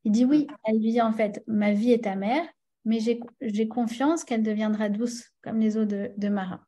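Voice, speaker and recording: A woman with a French accent leads a class in a casual, talkative way. Her voice sits a little high yet sounds warm and mellow. She stops often to check that her listeners follow. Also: fairly even intonation, normal speed. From France. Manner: chatty, many fillers and restarts, checks in with listeners. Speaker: religious teacher. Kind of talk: teaching a class.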